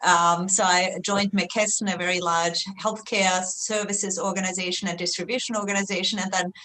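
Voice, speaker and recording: A woman's voice: on the low side, little pitch movement, normal speed, sharp tone, slight background noise, poor audio. A middle-aged woman from Austria with an Austrian accent, a webinar guest telling her career story in a chatty way, with lots of fillers and light self-deprecation. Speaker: guest, research executive. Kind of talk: conversational interview answer.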